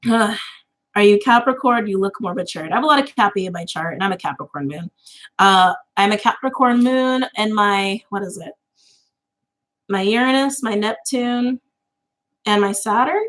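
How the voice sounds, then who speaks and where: Woman, late twenties, livestream host; relaxed chatty delivery, filler-heavy, laughs easily, mid-range pitch. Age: 20s. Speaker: tarot channel host. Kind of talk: casual livestream chat.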